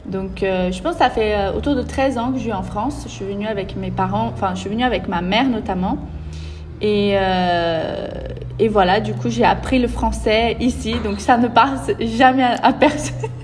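A woman in her twenties from Brazil with a Brazilian Portuguese accent, telling her life story as a podcast guest with many pauses and fillers. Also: medium pitch, darkish tone, a little hiss or hum. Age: 20s. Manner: hesitant, many fillers, laughs easily. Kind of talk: casual storytelling interview.

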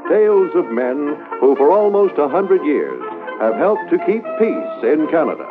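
A man's voice: on the high side, big pitch swings, moderate pace. Dramatic 1950s radio announcer, man. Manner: dramatic, declaiming. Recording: tinny old radio with music.

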